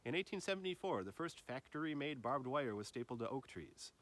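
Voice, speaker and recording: A man narrates written nature prose in a voice of ordinary middle pitch. He reads in measured phrases, and his sentences drop off at their endings. It sounds like an essay being read aloud from the page. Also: sing-song, a bit bright. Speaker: reader of an essay.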